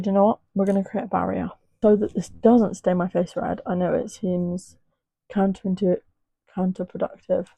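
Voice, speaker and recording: Young woman doing a makeup tutorial, chatty, kinda husky hoarse voice, dry close sound. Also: no room sound. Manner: chatty, rambling, soft and low at times. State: voice going hoarse. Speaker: makeup artist. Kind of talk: casual makeup tutorial chat.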